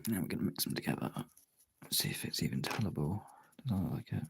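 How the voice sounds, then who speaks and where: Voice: a bass voice, expressive, fast. A streamer chatting casually while working on art, thinking aloud in short, informal, sweary remarks. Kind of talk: casual chat while working, muttered self-talk. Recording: clearly noisy, with clicks.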